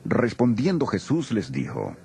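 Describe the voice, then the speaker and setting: Deep, gravelly male scripture narrator. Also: a little slow, soft background music. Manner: solemn, expressive reading.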